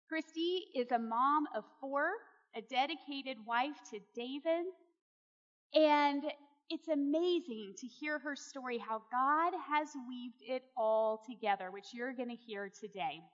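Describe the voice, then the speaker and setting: A woman's voice: high-pitched, unhurried, fairly bright tone. An earnest, encouraging woman speaking as a host, introducing and interviewing a friend before an audience at a church event. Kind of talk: warm-up address and guided testimony interview to an audience. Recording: muffled, echoey large hall.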